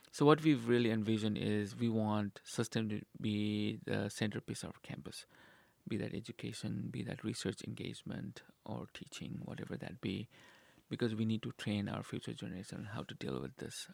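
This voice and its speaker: A man from Nepal talks about his work in an earnest, halting way, pausing often on fillers. His low voice is deeply gravelly with heavy fry. The recording is close and dry. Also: darkish tone, no room sound. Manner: earnest, hesitant with many fillers. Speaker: university sustainability director. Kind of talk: interview answers.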